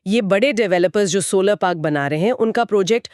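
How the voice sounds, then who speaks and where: A woman's voice: mid-range voice, a little fast, darkish tone, no room sound, studio quality. A woman with an Indian accent in a conversational explainer podcast, speaking in an assured, explanatory way as co-host. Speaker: co-host explaining company financials.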